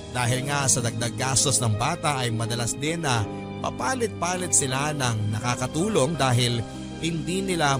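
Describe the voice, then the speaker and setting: A man narrating a radio drama as a storyteller, in a measured, polite, scripted way. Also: mid-range voice, natural voice. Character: male radio storyteller recounting a personal letter. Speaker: narrator reading a listener's letter in a radio drama.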